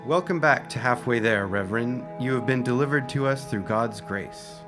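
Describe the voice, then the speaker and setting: A man's voice: medium pitch, normal speed, music in the background, close to an everyday voice. A man playing a pious, hesitant townsman in a period audio drama, speaking formally. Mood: pious, awkward. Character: pious, hesitant man welcoming a long-lost reverend.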